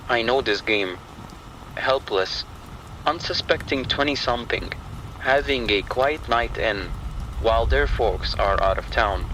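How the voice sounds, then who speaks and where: Tense, bright-edged low male narrator. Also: rough recording. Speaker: horror story narrator. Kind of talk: scripted first-person horror monologue. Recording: faint rain and ambient noise. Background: rain and thunder sounds.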